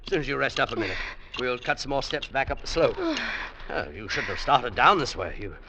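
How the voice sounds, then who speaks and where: An actor playing a brave, gentle but weary climber in an old-time radio drama, speaking in a halting, earnest way. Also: male voice, mid-range voice, sing-song. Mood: gentle, relieved. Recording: noisy vintage radio recording with clicks.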